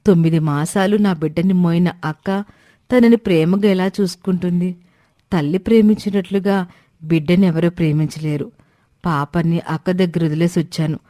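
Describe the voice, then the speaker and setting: An anxious young mother played by a woman in a moral story, hurt and torn over her baby. The voice is mellow and a little low, recorded dry. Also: fairly even intonation, moderate pace, no echo, natural voice. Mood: worried. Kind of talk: acted moral-story dialogue.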